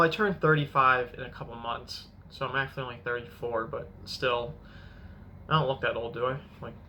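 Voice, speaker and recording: A man's voice: medium pitch, pushed and bright, a little hiss or hum. A man in his thirties telling his own money story in a casual, rambling vlog, often correcting himself mid-sentence. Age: 30s. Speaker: finance vlogger.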